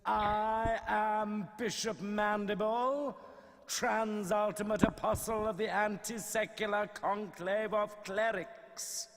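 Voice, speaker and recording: An actor playing a pompous, villainous bishop in a video game, declaiming with grand ceremony. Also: male voice, high voice, fairly even intonation, takes it slowly. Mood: grandiose. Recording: long hall reverb.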